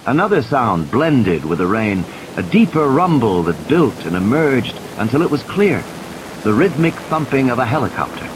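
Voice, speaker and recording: A man's voice: medium pitch, big pitch swings, normal speed. A man narrating an audiobook play in a dramatic, scripted storytelling style. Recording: hissy, noisy background.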